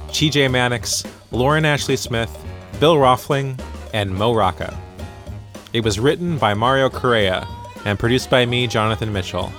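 A man's voice: mid-range voice, a bit bright. A man hosting a podcast as its producer, narrating closing credits.

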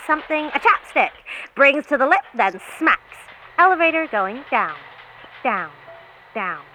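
Gossipy elevator machine, high-pitched, bright, precise observations, audio drama, faint noise. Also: female voice, exaggerated voice, rough recording. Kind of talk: scripted audio drama narration.

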